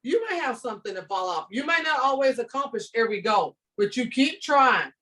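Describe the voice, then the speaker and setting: An older woman from the southern United States with a Southern accent, a teacher talking to students on a video call in a lively, storytelling and encouraging manner. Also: on the high side, brisk, distant mic. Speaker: ESL teacher guest. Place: home room with bookshelves.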